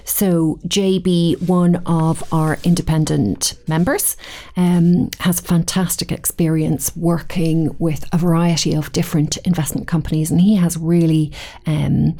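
A middle-aged woman with a Dublin Irish accent answers podcast questions at a measured, considered pace. Her voice sits a little low with a warm, relaxed tone. She pauses now and then to restart a phrase as she thinks. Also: gravelly. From Ireland. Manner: measured, thoughtful, occasional ums and restarts. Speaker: guest, committee chair. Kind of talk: podcast interview.

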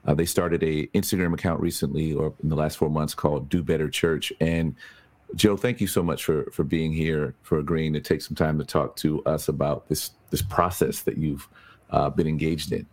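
A man hosting a casual interview, relaxed and chatty with lots of fillers. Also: very deep voice, normal speed. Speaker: podcast host.

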